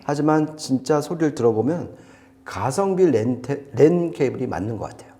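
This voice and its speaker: A man with a soft, mellow voice and a very lively pitch, giving a conversational gear review. He talks enthusiastically and puts emphasis on his points. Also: normal speed. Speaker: audio gear reviewer on a shop's video show.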